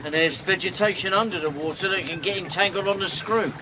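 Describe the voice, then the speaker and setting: A man's voice: high-pitched, brisk, background noise, lightly acted. An actor playing a boozy river boatman, plainspoken and grumbling. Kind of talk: radio drama acting.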